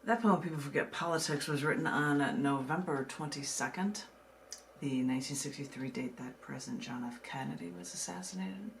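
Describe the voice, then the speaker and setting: A woman poet from the United States reading her own work and talking casually about it, in a measured way with dramatic pauses. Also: low voice, vocal fry, a little hiss or hum, poor audio. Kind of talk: poetry reading with casual asides.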